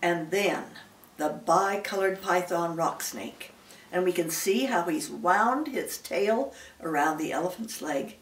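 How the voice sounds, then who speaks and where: A woman's voice: lowish voice, takes it slowly. An elderly woman, a great-grandmother, reading a children's story aloud and adding small asides to the listener. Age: older, great-grandmother. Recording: roomy, echoey, slightly distant mic.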